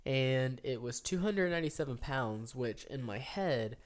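A man around thirty, co-hosting a casual chat podcast with a middle-range voice. He talks at length, filling pauses with ums and uhs and restarting sentences. Now and then he lifts into lively, excited bursts. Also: medium pitch. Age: 30s. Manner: rambling, lots of ums and restarts, animated bursts. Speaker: podcast co-host.

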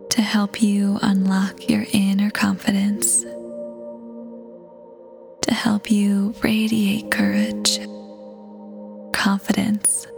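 Very slow, whispery woman guiding meditation. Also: mid-range voice. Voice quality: soft, breathy, near-whisper. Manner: soothing, unhurried, gentle pauses between phrases. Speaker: guided meditation narrator. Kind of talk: scripted guided meditation, ASMR-like. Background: soft new-age music.

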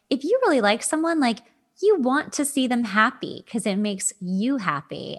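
A woman hosting a podcast in a casual, chatty way, with informal animated asides. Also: mid-range voice. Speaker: podcast host. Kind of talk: casual chat.

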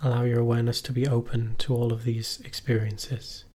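A man leading a guided meditation as a teacher, giving calm, measured instructions with long pauses between phrases. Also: mid-range voice.

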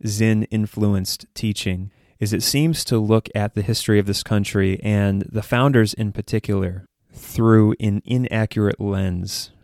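A man's voice: lowish voice, darkish tone, no room sound, clean studio sound. An American man hosting a podcast, giving earnest, conversational solo commentary with hesitant phrasing. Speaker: podcast host.

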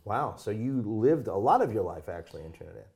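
A man's voice: lowish voice, expressive, fairly quick, creaky, darkish tone. An American man from the Midwest speaking as the host of an interview podcast. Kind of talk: casual interview chat, with a read-out intro.

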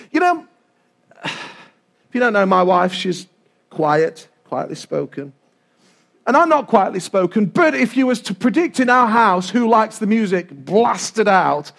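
A middle-aged English man preaches in a very high-pitched voice. He is loud and animated, talking to his congregation in an informal, humorous way and repeating key phrases to drive them home.

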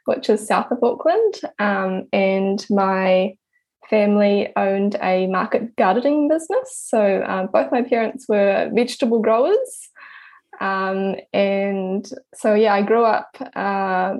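Gravelly young New Zealand woman, hesitant. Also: medium pitch, slow pace, darkish tone. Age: 20s. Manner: hesitant, many ums and restarts. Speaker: guest, artist. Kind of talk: casual interview answers.

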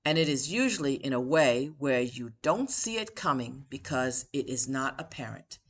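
A woman who hosts her own podcast, reading one of her personal essays aloud in a measured, deliberate way with emphatic pauses. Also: very deep voice, animated, husky. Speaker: author and podcast host.

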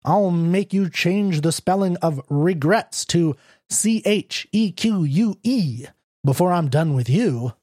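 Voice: medium pitch, lively intonation, slow pace, warm tone, dry recording, clean studio sound, voice pushed up. A reader doing a sardonic, broke male writer. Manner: sardonic, blunt, defiant. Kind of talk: audiobook character reading.

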